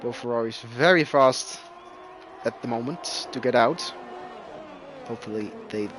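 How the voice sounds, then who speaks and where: A man whose first language is Dutch, commentating live on an online racing game with excitable bursts. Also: medium pitch, a little slow, noisy background. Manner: excitable, rising loud bursts at crashes. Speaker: esports race commentator.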